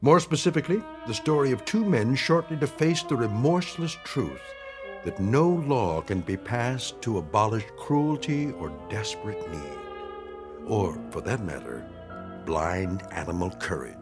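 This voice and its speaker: A man giving scripted, measured dramatic narration for a radio play. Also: on the low side, animated. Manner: measured, ominous, with hushed endings. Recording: radio drama with music bed.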